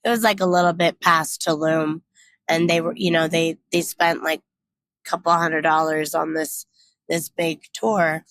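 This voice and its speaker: A woman's voice: low-pitched, flat delivery, measured pace, a bit bright, a little hiss or hum. A young woman from California telling a travel story in a casual, reflective way, full of "like"s and restarts. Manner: chatty, reflective, many "like"s and restarts. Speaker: travel vlogger.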